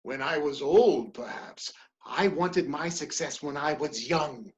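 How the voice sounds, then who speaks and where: A woman's voice: deep voice, takes it slowly, slight background noise. A woman playing a proud, ambitious male politician in a dramatic play reading, speaking with theatrical emphasis and defiance. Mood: earnest, fervent.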